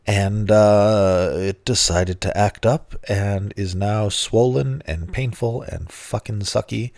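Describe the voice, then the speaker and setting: A middle-aged man hosting his own podcast in casual, grumpy, self-mocking talk, his voice hoarse and lower than usual from bronchitis. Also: slow pace, a little mellow, no room sound. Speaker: podcast host.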